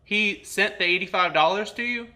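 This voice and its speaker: A man's voice: high-pitched, moderate pace, bright, forceful tone. A man hosting a comedy show, talking in casual banter and prank-call style with a mock-earnest manner.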